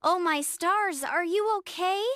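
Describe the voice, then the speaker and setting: A woman's voice: very high voice, slow, fairly bright tone, dry recording, clean studio sound, exaggerated voice. A woman voicing a cheerful, brave, kind little girl princess in a children's bedtime story, with an earnest, reassuring manner. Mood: caring, reassuring.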